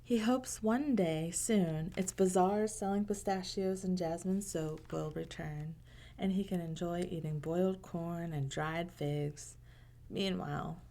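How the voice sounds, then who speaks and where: Slow-paced woman reading a storybook aloud. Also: lowish voice. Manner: gentle, unhurried, pausing between short phrases. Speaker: storyteller reading a picture book aloud to children. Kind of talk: read-aloud narration with casual asides on the pictures.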